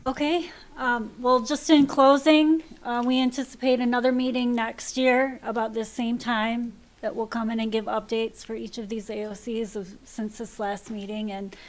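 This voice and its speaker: A woman's voice: a little high, little pitch movement, normal speed, few pauses, poor audio. A woman moderating a public meeting, polite and procedural as she wraps up. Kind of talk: formal meeting wrap-up.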